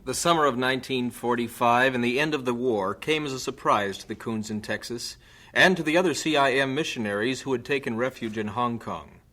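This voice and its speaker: A man's voice: mid-range voice, fairly quick, bright, forceful tone. A man narrating a scripted radio drama, setting the scene in a storytelling style.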